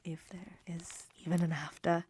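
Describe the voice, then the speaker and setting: An actress playing a wounded, reproachful young Victorian mother in a radio drama, reading letters in formal, measured, emotive diction. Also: on the low side, little pitch movement, darkish tone, slightly rough. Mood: anxious, pleading.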